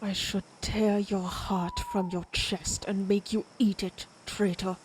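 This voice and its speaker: A woman's voice: medium pitch, little pitch movement, slight background noise, natural voice, rough recording. A woman playing a proud, menacing vampire warrior of ancient Germanic tribes in a dramatic audio roleplay, speaking in a taunting, predatory way. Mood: outraged. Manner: slow, taunting, theatrical, predatory.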